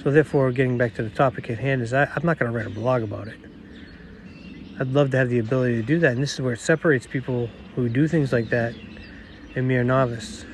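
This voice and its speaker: A middle-aged man with a nasal voice hosting a podcast on his own, rambling and self-deprecating, talking quietly outdoors. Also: medium pitch, fast, a little hiss or hum. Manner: quiet, not projecting. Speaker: podcast host. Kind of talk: casual solo monologue.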